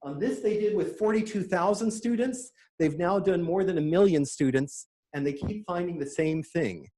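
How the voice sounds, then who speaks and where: A man with a high, light voice presents at a conference, eager and quick to make a small joke. He sounds middle-aged and talks the audience through his slides. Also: warm tone, low-quality recording. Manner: enthusiastic, lightly joking. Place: conference room.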